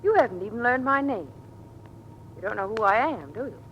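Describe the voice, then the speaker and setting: An actress playing a poised, teasing American officer's wife in an old-time radio drama. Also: female voice, fairly high pitch, very animated, a little fast, a bit bright, lo-fi sound. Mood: teasing, welcoming. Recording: noisy vintage radio recording.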